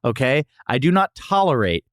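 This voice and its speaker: Animated man hosting a food podcast. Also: medium pitch, normal speed, no echo, clean studio sound. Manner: opinionated, jokey. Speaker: podcast host. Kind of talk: casual food review chat.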